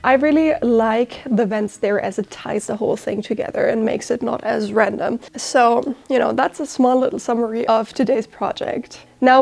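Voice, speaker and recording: A young woman whose first language is German walks viewers through a sewing project. Her voice sits a little high, and she explains each step in a chatty, upbeat way. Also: creaky, a little mellow. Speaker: sewing teacher. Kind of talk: sewing tutorial with announcement.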